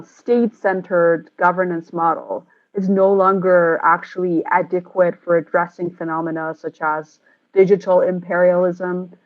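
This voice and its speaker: A woman interviewer reads out a long, formal question in a measured way. Her voice is on the low side. The sound is thin and tinny, like a phone line. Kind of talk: reading a prepared question.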